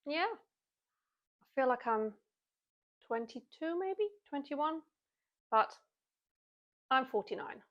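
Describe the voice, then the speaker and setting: A woman's voice: high voice, animated, slow, long pauses, fairly bright tone, highs cut off. A British woman in her forties, chatting casually to camera with wry, self-mocking asides. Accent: British English. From Britain. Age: 40s. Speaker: vlogger.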